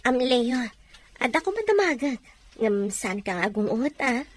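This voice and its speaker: Lively, inquisitive young woman. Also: a little high, moderate pace. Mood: curious, teasing. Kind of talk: Ilocano audio drama acting.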